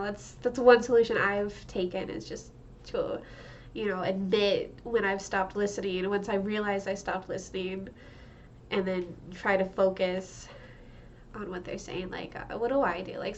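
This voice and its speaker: A woman's voice: medium pitch, distant mic, highs cut off, slight background noise. A young woman with a stuffed-up nose, chatting in a rambling vlog with lots of fillers and laughs. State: stuffed up, congested. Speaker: vlogger. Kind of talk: casual monthly check-in vlog. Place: home room.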